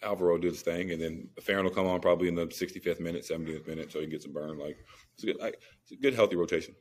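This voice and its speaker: A man's voice: low-pitched, fairly even intonation, very fast, darkish tone, slight background noise. A man co-hosting a podcast, chatting casually about sports in slangy speech with emphatic repetition.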